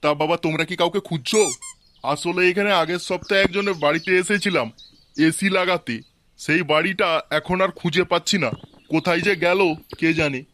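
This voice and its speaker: An excitable village family man from a comic cartoon, acted by a man. The voice is high and sharp, with a quick, theatrical delivery. There is faint noise in the home-made recording. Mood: curious. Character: excitable village family men, father and neighbours. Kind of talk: comic Bangla cartoon dubbing.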